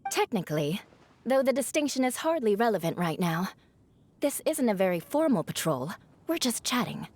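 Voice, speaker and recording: Cool, expressive female knight. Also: medium pitch, normal speed, no room sound. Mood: matter-of-fact. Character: cool, composed, matter-of-fact female knight. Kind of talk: video game voice acting.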